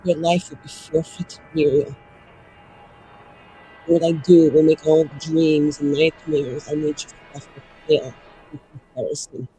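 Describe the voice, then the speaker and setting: Man playing a high-voiced, menacing, grieving noble. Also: flat delivery, mellow, soft background music, close to an everyday voice, low-quality recording. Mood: cold threat. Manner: slow, deliberate, broken phrases. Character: grieving, menacing noble speaking of a lost beloved friend.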